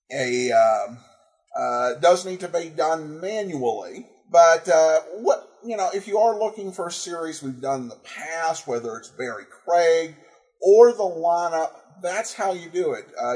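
A man hosting a podcast, speaking informally in a halting, hesitant way as he reads and answers listener feedback. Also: a little high, darkish tone, poor audio. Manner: halting, hesitant, breaks up phrases. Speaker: podcast host.

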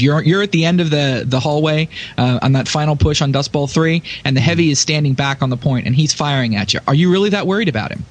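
A man in a casual gaming podcast discussion, explaining emphatically and talking through examples. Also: medium pitch. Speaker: podcast panelist discussing game tactics.

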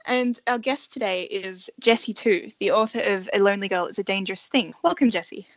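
A woman's voice: medium pitch, fairly quick, dry recording, lo-fi sound. A woman hosting a podcast, welcoming guests and asking questions conversationally. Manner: conversational, some fillers. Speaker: podcast host.

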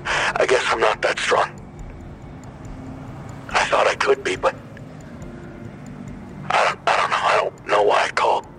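An actor playing a despondent, broken man leaving a halting, unsure voicemail in an audio drama. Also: on the low side, steady tone, sharp tone, a little hiss or hum, poor audio. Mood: despondent, hesitant.

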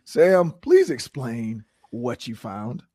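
A man hosting a sports talk show, his voice hoarse from shouting, talking energetically. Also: mid-range voice, slow, no echo, clean studio sound. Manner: animated, energetic opinions. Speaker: host.

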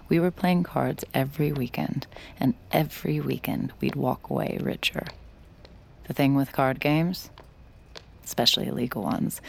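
Wry, creaky-voiced woman, a weary cynical gambler in an audio drama, faint ambient noise. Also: low voice, exaggerated voice. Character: Sid, cynical gambling mind reader, narrating her own story. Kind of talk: audio drama dialogue and confessional first-person narration.

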